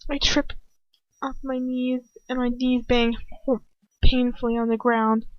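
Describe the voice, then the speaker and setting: A woman reading fan fiction aloud as an amateur, expressive and informal, stumbling over words and correcting herself. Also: a little high, slow, no echo. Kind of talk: dramatic first-person story narration. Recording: dull, lo-fi home microphone.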